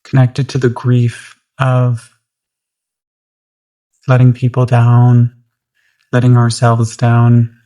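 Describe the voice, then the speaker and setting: Very mellow, slow meditation guide. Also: mid-range voice, completely flat delivery. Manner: gentle, unhurried, long pauses between phrases.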